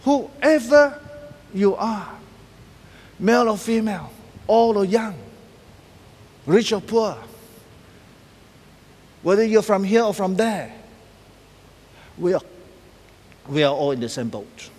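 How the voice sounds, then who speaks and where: A middle-aged male pastor preaching with a high, lively voice that leaps up and drops low for emphasis. He speaks slowly and deliberately, hammering single words again and again. The sound carries a long reverb from a large church hall. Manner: emphatic, repeats key words, pacing and gesturing widely. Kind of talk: sermon. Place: large church stage decorated for Christmas.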